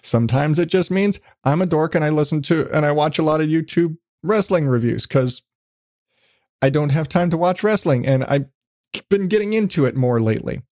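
Rambling male podcast host, higher-pitched voice. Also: normal speed, darkish tone, no echo, clean studio sound. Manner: rambling, self-deprecating, casually profane. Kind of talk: casual chat interview.

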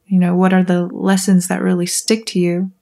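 A woman interviewer asking curious, measured questions. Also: lowish voice, monotone, mellow.

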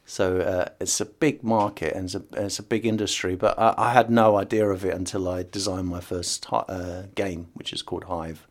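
A man's voice: deep voice, steady tone, normal speed, hardly any pauses. A middle-aged man from the UK, a podcast guest talking casually with many fillers and restarts. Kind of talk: casual interview conversation.

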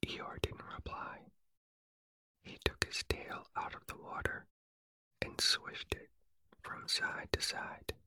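A reader whispering a children's story softly and evenly in a calm ASMR style. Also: takes it slowly, pauses often, no room sound. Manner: soft unvoiced whisper throughout.

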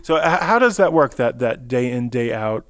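A man's voice: medium pitch, animated, normal speed, fairly bright tone, no echo, studio quality. A man hosting a podcast, asking probing, curious questions of residents. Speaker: podcast host and interviewer. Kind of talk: interview questions.

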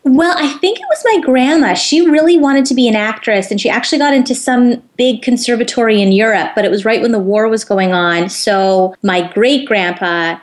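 A woman's voice: a little high, moderate pace, few pauses. A young woman from Canada with a North American accent, chatting informally as an interview guest. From Winnipeg, Canada. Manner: chatty, informal, uses "like" often. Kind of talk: casual chat.

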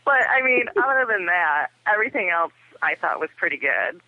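A man's voice: sits high, big pitch swings, normal speed, creaky, no echo, narrow, tinny audio. A man chatting casually as a podcast guest and freely giving review opinions.

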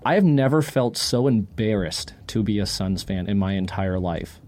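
A man's voice: fairly low pitch, expressive, moderate pace, darkish tone. A man co-hosting a sports podcast in casual chat, talking emphatically and repeating phrases for dramatic effect.

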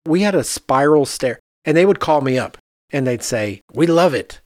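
A man, an architect hosting a podcast, chatting casually and telling animated anecdotes in which he quotes other people. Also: on the high side, normal speed, dry recording, clean studio sound.

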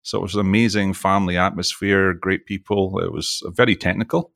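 Scottish man, middle-aged, very low voice, dry studio sound, chatty and jokey. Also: steady tone, brisk, gravelly, a bit bright, no echo. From Scotland. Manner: chatty and jokey, with frequent restarts. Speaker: podcast guest, oil industry executive. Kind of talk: casual interview chat.

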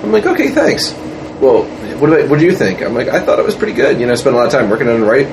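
A man's voice: mid-range voice, big pitch swings, racing. Self-deprecating man in a noisy room. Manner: self-deprecating, acts out exchanges. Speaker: film writer, producer and director. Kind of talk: casual interview banter.

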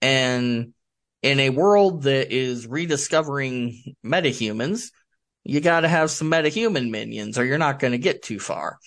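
A man from the United States being interviewed as an author, explaining things casually and haltingly as he searches for words. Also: mid-range voice, a bit bright. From United States, Michigan.

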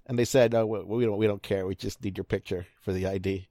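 A man's voice: lowish voice, fairly even intonation, fast talker, mellow, dry recording, studio quality. A man in his thirties with a nasal voice, a podcast guest telling rambling, self-deprecating stories. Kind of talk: casual chat.

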